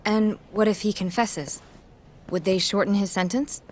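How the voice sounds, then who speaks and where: An actress playing a polite, concerned young hospital worker in a video game drama, speaking with restraint. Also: female voice, mid-range voice, steady tone, a little slow, darkish tone, a little hiss or hum, lightly acted.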